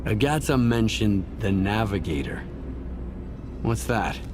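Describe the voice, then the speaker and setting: An actor playing a terse, hardened mercenary with blunt, brief delivery in a video game cutscene. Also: male voice, on the low side, animated, slow pace, background noise. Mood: guarded, questioning. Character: terse, questioning male zone stalker, hardened mercenary.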